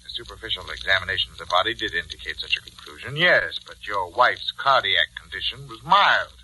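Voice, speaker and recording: A man playing a shrewd, suspicious doctor in a 1940s radio drama, measured, formal and pointed, on an old broadcast recording. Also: sits low, animated, bright, forceful tone, full character voice. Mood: accusing. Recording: faintly noisy vintage radio recording.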